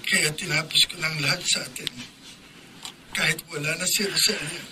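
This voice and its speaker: Remorseful young guy in pain, super bright voice, flat. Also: fairly high pitch, normal speed, slight background noise. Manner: pained, remorseful. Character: guilt-ridden young man in pain. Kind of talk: radio drama acting.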